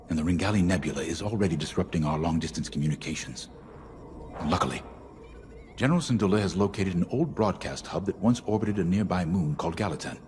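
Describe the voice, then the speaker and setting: A middle-aged rebel commander in a game, really low voice, grave and measured, music behind. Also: male voice, darkish tone. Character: grave, principled male rebel fleet commander. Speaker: military commander. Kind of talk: video game cutscene acting.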